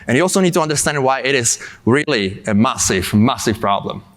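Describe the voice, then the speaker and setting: A man's voice: medium pitch, animated, brisk, fairly bright tone. A man from the Czech Republic in his thirties, giving a conference tech talk in a casual way with many fillers, while he has a cold. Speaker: conference speaker.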